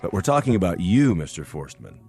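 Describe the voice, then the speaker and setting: An actor playing a reasonable, measured male doctor in a radio drama, polite, tactful and probing. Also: low voice, sing-song, mellow, faint music behind.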